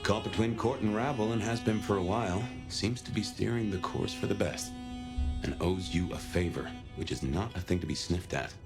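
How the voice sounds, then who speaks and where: Over prominent music comes a low-pitched noir gumshoe voiced by a man. He talks in a wry, folksy drawl, world-weary but smooth, as in a detective game. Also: moderate pace, close to an everyday voice. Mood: musing. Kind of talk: noir video game voice acting.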